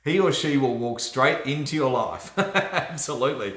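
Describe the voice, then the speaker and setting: Male livestream host, Australian accent, average pitch, casual self-help coaching chat, echoey room. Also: expressive, normal speed, a bit bright. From Australia, born in New Zealand. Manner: chatty, checks in with "right?". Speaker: host of a personal development livestream. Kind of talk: casual motivational talk. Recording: roomy, echoey room sound.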